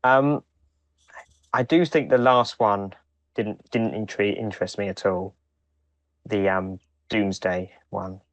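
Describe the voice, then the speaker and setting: A man co-hosting a podcast, talking casually in a hesitant, halting way with repeated starts. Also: lowish voice, steady tone, no room sound. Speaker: podcast co-host. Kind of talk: casual chat.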